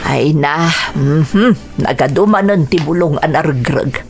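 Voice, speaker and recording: A middle-aged village mother , voiced by a woman, speaks with an Ilocano accent. She talks fussily and exclaims often. Her voice is slightly low for a woman. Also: slow, creaky, over loud music, heavily acted. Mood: fussy. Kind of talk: radio drama acting.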